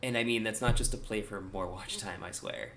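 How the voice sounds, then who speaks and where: A man in his thirties talks straight to his viewers in a loose, rambling monologue, wry and a bit self-deprecating. His voice sits a little low, with a faint hiss of noise under it. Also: fairly even intonation, a little fast, fairly bright tone. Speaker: gaming YouTuber.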